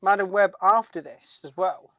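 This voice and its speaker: Male podcast co-host, British, high-pitched voice, dry close sound, chatty. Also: normal speed, a bit bright, no room sound. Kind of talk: casual chat.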